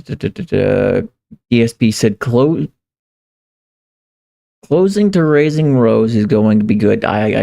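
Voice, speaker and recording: A man livestreaming in a casual, rambling way, halting and repeating phrases as he thinks aloud. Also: mid-range voice, creaky, mellow. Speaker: live streamer. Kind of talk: casual livestream chat, reading viewer comments.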